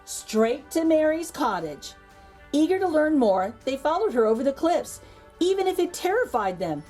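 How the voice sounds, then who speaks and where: A woman reading a children's picture book aloud as a storytime host, theatrically, with dramatic pauses and emphasis. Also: sits high, very animated, warm tone, faint music behind, rough recording.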